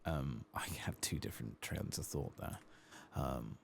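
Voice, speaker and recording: A soft-spoken man doing an ASMR game playthrough, hushed and sometimes whispering, musing in a reflective, hesitant way as he plays, close to the microphone. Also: very deep voice, a little slow, creaky, a little hiss or hum. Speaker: ASMR gaming video host.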